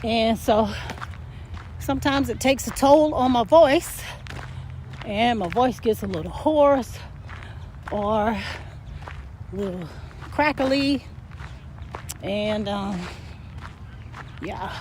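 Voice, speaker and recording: An older woman chatting casually while walking uphill outdoors, a bit hoarse and winded, rambling with lots of fillers. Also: a little high, slow, pauses often, darkish tone, a little hiss or hum. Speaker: vlogger. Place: outdoors in a park field.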